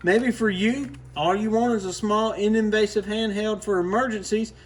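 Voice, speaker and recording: A man's voice: high-pitched, steady tone, moderate pace, a little hiss or hum. A man giving a casual, folksy, plain-spoken product talk to camera as a hobbyist presenter.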